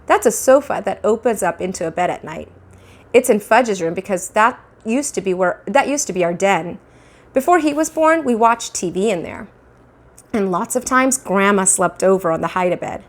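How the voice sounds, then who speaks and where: Female children's read-aloud narrator, thirties, very expressive, wide swings of pitch. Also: normal speed. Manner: animated, acting out dialogue. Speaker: teacher reading aloud. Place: small indoor room at home. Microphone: clip-on lapel mic.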